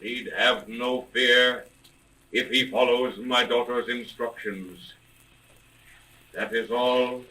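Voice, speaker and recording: A man playing a calm, ghostly father's voice giving solemn, formal counsel in a 1930s radio mystery serial. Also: mid-range voice, slow, long pauses. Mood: solemn warning. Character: calm ghostly voice of a long-absent father, advising. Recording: noisy old radio recording, distant voice.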